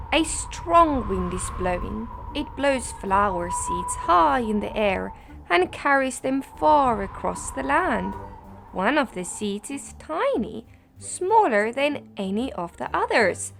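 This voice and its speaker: A woman reads a children's picture book aloud as a bedtime story. Her delivery is slow and deliberate, swinging expressively up and down in pitch. There is a noticeable creak in her voice. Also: a bit bright.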